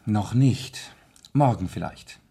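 An actor in a German radio play playing a composed aristocratic gentleman detective, polite and matter-of-fact. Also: lowish voice, lively intonation, slow, vocal fry, a little hiss or hum, exaggerated voice. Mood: casual, curious.

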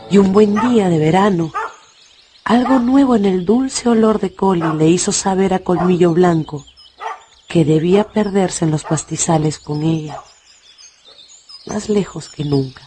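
Story narrator, medium pitch, scripted radio storytelling, music clearly behind.

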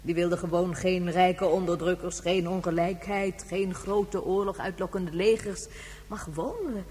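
An actress playing a very old, gentle woman who reflects and reminisces in a measured way, in a science fiction radio drama. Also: lowish voice, steady tone, breathy edge. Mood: reminiscing. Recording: hollow long reverb, humming background noise.

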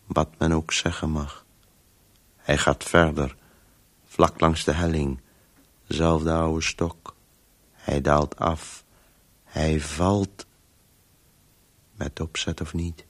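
Very deep, weary storytelling voice. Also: male voice, extremely slow, long pauses, full character voice. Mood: bleak, narrating. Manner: fragmented, terse, monotone, urging. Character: weary, driven inner voice of a man compulsively storytelling. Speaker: radio drama actor. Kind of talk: Dutch radio play monologue.

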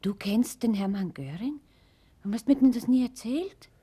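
Inquisitive kid in a radio play, bit breathy and husky, bouncy pitch, eager questions. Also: female voice, lively intonation, normal speed, warm tone. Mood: curious, delighted. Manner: eager questioning, short lines. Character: curious, worried child listening to an elder.

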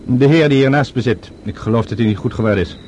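Actor as courteous older doctor, noisy radio play. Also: male voice, medium pitch, fast talker, darkish tone, highs cut off, lightly acted. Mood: grave, formal. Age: middle-aged or older. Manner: formal, polite, solicitous. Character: courteous, smooth-talking older male doctor on a journey. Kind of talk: Dutch radio drama acting. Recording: old radio drama with clear background noise.

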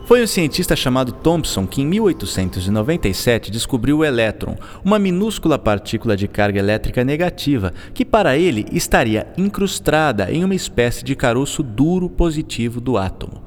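A Brazilian actor playing a courteous, patient young physicist in a radio drama, explaining things clearly. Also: male voice, mid-range voice, sing-song, a little fast, few pauses, big-room sound, a little hiss or hum, natural voice. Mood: patient, explanatory.

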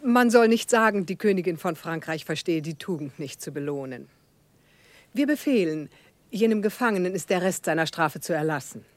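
A woman's voice: fairly low pitch, expressive, moderate pace, no echo, close to an everyday voice. A woman playing a capricious Queen of France in a German radio drama, haughty, regal and commanding. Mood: imperious. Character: capricious, imperious Queen of France, grandly condescending.

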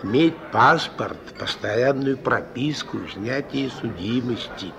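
An actor playing a worn-out old ex-convict in a radio play, sly and meek, talking in folksy thieves' talk with wheedling pet words. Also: male voice, mid-range voice, takes it slowly, creaky, noisy background. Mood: sly, admonishing. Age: older. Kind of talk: mid-century radio play acting. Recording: old radio recording with music behind.

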